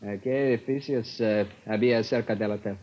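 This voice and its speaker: An actor playing a patient bilingual police officer who interprets in a matter-of-fact, measured manner in a 1950s radio drama. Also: male voice, fairly low pitch, fairly even intonation, natural voice. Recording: dull vintage 1950s radio recording.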